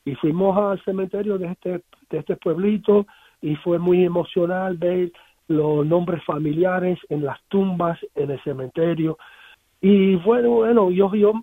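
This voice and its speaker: A man who is a musician, with an American English accent, answering interview questions in a halting way with many restarts and repeated words. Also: high voice, breathy edge, no room sound. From United States. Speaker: musician guest. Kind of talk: radio interview, casual recollection. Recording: dry, phone-like radio line.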